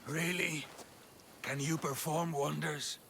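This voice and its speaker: A man's voice: on the high side, steady tone, slow pace, creaky, fairly bright tone, slight background noise, exaggerated voice. An actor playing a sheepish, evasive male athlete in a video game. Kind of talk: video game cutscene acting.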